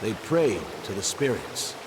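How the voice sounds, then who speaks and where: An actor playing a sober, plain-spoken townsman in a video game, measured and earnest. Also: fairly low pitch, very animated, long reverb tail, background noise. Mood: resolute, solemn. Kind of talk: video game cutscene acting.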